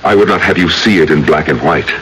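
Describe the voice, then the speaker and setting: A man's voice: rumbling low, a bit bright, a little hiss or hum, rough recording. An actor in an old-time radio drama playing a brooding, vengeful man who speaks from his grave with theatrical solemnity. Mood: gentle, earnest. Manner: slow, solemn, theatrical declamation. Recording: old radio broadcast with music underneath.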